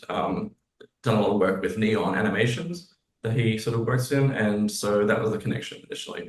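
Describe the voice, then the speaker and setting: An Australian man talking conversationally as an interview guest. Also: lowish voice. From Australia, grew up in Sydney. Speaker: guest, composer. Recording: roomy, echoey sound.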